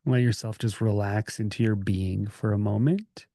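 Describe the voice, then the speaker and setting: A man leading a guided meditation as a teacher, speaking in a gentle, calming, informal way with pauses. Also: lowish voice, creaky, no room sound, studio quality. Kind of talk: guided meditation, conversational and unscripted.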